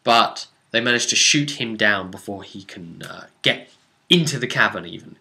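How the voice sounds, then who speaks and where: A man with a crisp, bright voice and an average pitch talks through a role-playing session as its game master. His delivery is casual and rambling, like someone telling a story to friends, with animated turns as he relays what the players did.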